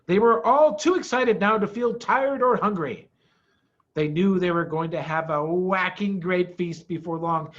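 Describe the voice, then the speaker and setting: A middle-aged man reading a children's storybook aloud in an expressive, emphatic way. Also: high voice, lo-fi sound.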